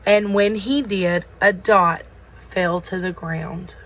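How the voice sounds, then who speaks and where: A woman's voice: fairly low pitch, fairly even intonation, takes it slowly, background noise. A woman reading a children's story aloud. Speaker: story reader at a school.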